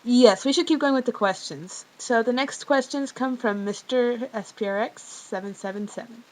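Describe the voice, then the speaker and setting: A woman's voice: fairly high pitch, moderate pace, rough recording. A woman hosting a casual scripted question show, reading out fan questions.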